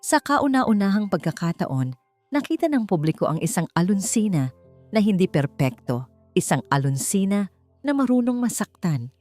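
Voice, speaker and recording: A woman narrating a dramatic love story audiobook in a measured storytelling manner. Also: mid-range voice, sing-song. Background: soft background music.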